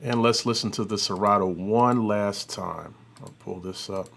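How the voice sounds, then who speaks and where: A man hosting an audio software tutorial, talking informally and thinking aloud as he works through a demo. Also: on the low side, normal speed, gravelly, over loud music. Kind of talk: casual demo commentary.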